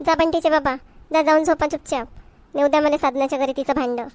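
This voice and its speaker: A woman's voice: high voice, steady tone, fast, darkish tone, close to an everyday voice. A woman voicing a homely, chatty village housewife and mother in a cartoon comedy, with a Varhadi Marathi accent. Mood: exasperated. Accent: Varhadi Marathi of Vidarbha. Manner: chatty, homely, repetitive phrasing. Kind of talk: amateur cartoon comedy dialogue.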